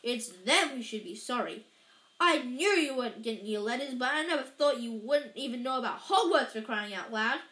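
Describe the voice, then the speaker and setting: Here is a woman acting out a huge male giant from a storybook, gruff and quick to anger. He booms and growls in rustic, emphatic phrases. The voice sits fairly high and rings in a very echoey room. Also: sing-song. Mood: outraged. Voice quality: booming, growling. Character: gruff, huge, hot-tempered man giant. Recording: echoey, roomy sound.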